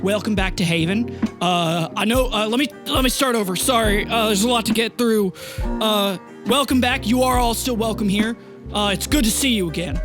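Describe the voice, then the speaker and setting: A game master voicing a flustered, apologetic male mayor in a fantasy audio drama, with halting speech. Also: medium pitch, over music, natural voice.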